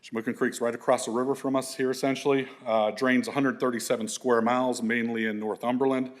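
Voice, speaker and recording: A middle-aged man with a big, full voice gives a slide talk to a room of colleagues. His speech is loose and conversational, full of fillers, restarts and asides. He sits at an everyday male pitch. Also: level tone, fast. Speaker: environmental consultant presenting to an audience. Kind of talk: conference slide presentation.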